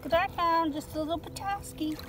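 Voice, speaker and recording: A woman's voice: very high-pitched, fairly even intonation, a little fast, over music. A woman vlogging outdoors on a lakeshore beach, musing aloud to her viewers as she looks for rocks on a handheld phone. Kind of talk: casual narration while rockhounding.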